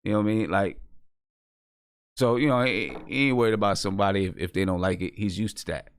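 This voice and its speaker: A man's voice: fairly low pitch, fast, clear, resonant tone. An American man hosting a casual live chat show, speaking conversationally and repeating phrases for emphasis. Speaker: host of a hip hop music review show.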